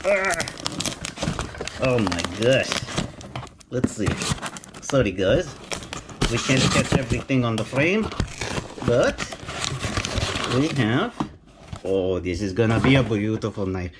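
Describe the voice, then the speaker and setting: A man's voice: medium pitch, sing-song, takes it slowly, pauses often, very noisy. A middle-aged man from Romania with a Romanian accent, chatting enthusiastically to viewers as he unboxes a knife. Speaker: knife collector vlogger. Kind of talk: casual unboxing vlog.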